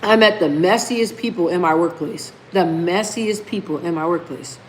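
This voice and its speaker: A woman's voice: on the low side, normal speed, a little hiss or hum, rough recording. A woman hosting a casual live stream, talking emphatically, repeating key phrases and reading viewer comments aloud. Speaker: livestream host.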